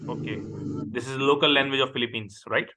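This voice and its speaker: A man's voice: medium pitch, steady tone. A man from Pakistan, a native Urdu speaker, speaking as an accounting tutor and patiently interviewing a student over a video call. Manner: patient, repeats questions slowly. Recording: noisy call audio.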